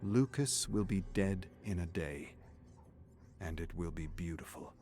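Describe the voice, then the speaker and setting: A suave, sinister storyteller for a video game, with a fairly low voice. The phrasing is measured and theatrical, savoring a vain killer's dark thoughts. Soft music plays underneath. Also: male voice. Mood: brooding, sinister. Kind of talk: scripted dramatic story narration. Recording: music behind the narration.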